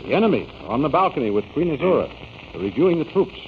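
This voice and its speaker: An actor playing a deferential, cautious palace guard in a 1930s radio serial, respectful and hushed. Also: male voice, fairly high pitch, sing-song, racing. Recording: noisy, dull old radio recording.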